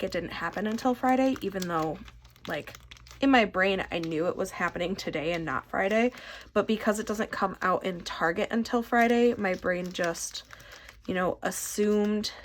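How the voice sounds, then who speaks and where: A woman's voice: mid-range voice, slight background noise. A young woman from Michigan with a stuffy nose, chatting casually and rambling while she sorts collectible cards for her channel. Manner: rambling, self-deprecating, chatty. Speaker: vlogger.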